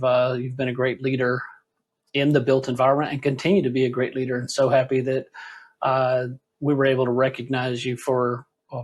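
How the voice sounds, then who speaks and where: A man hosting a conversational interview podcast, speaking in a halting, encouraging way. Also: medium pitch. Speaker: podcast host.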